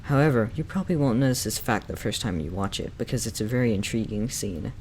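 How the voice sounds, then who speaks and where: A man's voice: fairly low pitch, expressive, fast, a little mellow. Opinionated male reviewer over background noise. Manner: opinionated, enthusiastic fan talk. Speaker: reviewer and narrator of a video essay. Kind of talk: semi-scripted review commentary. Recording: clearly noisy background.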